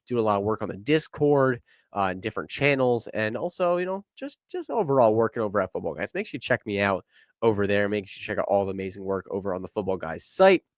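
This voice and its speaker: A man's voice: on the low side, no echo, studio quality. A young man hosting a solo sports podcast, stuffed up and a little nasal, talking with energy in a casual, rambling way. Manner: energetic, conversational, quick asides. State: congested, stuffy nose. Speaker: podcast host.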